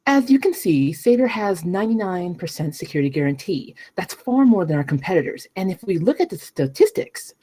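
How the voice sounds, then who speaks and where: A woman's voice: on the low side, sing-song, fairly quick, few pauses, mellow. A woman at a script table read playing a polished, businesslike company manager in her forties. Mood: polished, eager.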